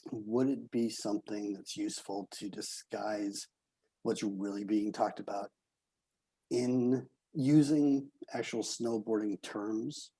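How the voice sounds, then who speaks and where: A man from the United States speaking casually and haltingly, putting a tentative question to a group. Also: fairly low pitch, fairly even intonation, mellow, slightly rough, no room sound, low-quality recording.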